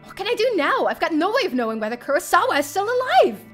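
A young woman streamer reading a visual novel aloud in the first person, expressive and theatrical. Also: very high-pitched, fast talker. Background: game music.

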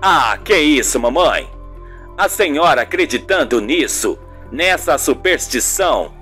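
A man's voice: on the high side, animated, unhurried, pushed and bright, faint music behind, pitched below the performer's own voice. A man playing a defensive, exasperated young journalist son in an amateur radio soap.

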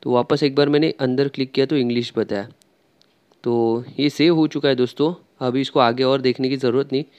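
A man's voice: medium pitch, steady tone, a little fast, a little mellow, clear, resonant tone, muffled recording. A man talking through a screen-recorded tutorial step by step, chatty and loose, with frequent filler sounds. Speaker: tech tutorial creator.

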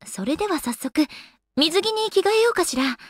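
An actress voicing an elegant, teasing young goddess in a Japanese video game, with refined, polite and slightly haughty manners. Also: female voice, very high voice, expressive, normal speed, dry recording, studio quality. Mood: teasing, pleased. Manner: polite, haughty ojou-sama refinement with playful coyness.

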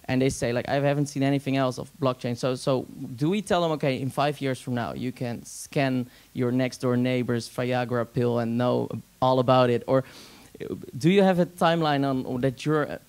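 Moderator, man, mid-pitched, hesitant questions, handheld mic, stage. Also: fairly even intonation, moderate pace, few pauses, a little mellow, clean tone. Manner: hesitant, many fillers and restarts in questions. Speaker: panel moderator. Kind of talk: moderating a panel. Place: stage in a meeting hall with audience.

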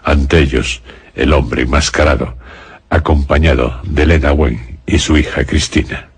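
A man narrating a scripted radio horror story in a grave, deliberate manner, with dramatic pauses. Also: very deep voice, steady tone, slightly rough, no room sound.

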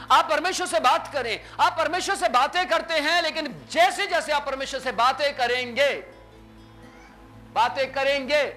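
Brief: male pastor, 30s, high bright voice, animated storytelling sermon, faint music underneath. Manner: animated preacher, acts out dialogue with sweeping gestures. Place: small indoor stage with curtains.

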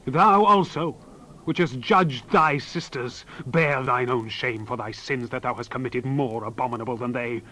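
A man acts out a wrathful God passing judgment in a dramatized scripture reading. The male voice sits at a medium pitch. The delivery is solemn and declamatory, moving between forceful cries and hushed near-whispers. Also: close to an everyday voice, poor audio.

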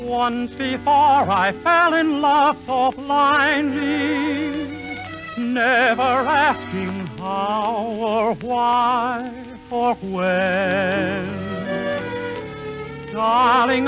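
A man crooning a slow romantic ballad in a high tenor over an orchestra, from a 1940s radio show. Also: music in the background. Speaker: singer and comedy sidekick. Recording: dull 1940s radio broadcast.

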